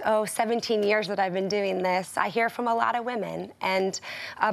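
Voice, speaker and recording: Talk-show guest, middle-aged woman, earnest storyteller with easy laughs, mid-pitched voice. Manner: earnest, laughs often while telling her story. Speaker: guest singer and author. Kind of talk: casual talk-show conversation. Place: television studio with a live audience.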